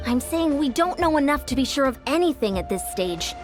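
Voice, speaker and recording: A woman voicing a cautious, level-headed commander who talks in a measured way. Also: high voice, sing-song. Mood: wary. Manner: measured, wary. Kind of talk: video game voice acting. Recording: game dialogue over music.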